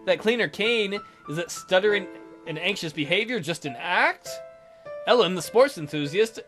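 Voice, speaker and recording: A man plays through a game, reading aloud and commenting casually. His voice is fairly high and very lively in pitch, with a sarcastic, joking way of talking. Faint music plays behind him. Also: normal speed. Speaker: let's player.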